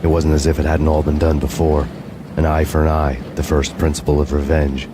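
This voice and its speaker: An actor playing a weary, sardonic hard-boiled cop, narrating a video game in noir style. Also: male voice, rumbling low, a little fast, background noise. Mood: grim. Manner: flat, deadpan, sardonic.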